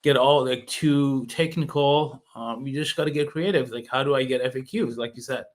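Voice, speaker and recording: A man's voice: medium pitch, normal speed. A man whose first language is Persian, hosting a casual interview and telling stories with frequent laughs and acted-out quotes. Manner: animated storyteller, laughs often, acts out quotes.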